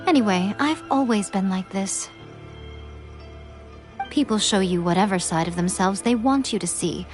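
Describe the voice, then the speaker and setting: A cunning, self-assured shrine maiden from a video game, played by a woman, speaking with coy, knowing playfulness. Her voice has an average pitch for a woman, with music behind it. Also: normal speed, a little mellow, close to an everyday voice. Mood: airy, knowing. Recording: music under the voice.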